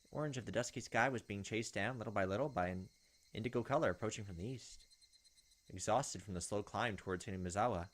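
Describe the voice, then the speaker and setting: A man's voice: fairly low pitch, fast talker. A man hosting a gaming video, reading story text aloud expressively and dropping in casual asides.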